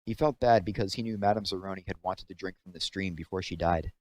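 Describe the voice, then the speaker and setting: A man with a fairly low voice reads a children's novel aloud. He is the narrator, delivering scripted prose as a story. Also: fast, a little mellow.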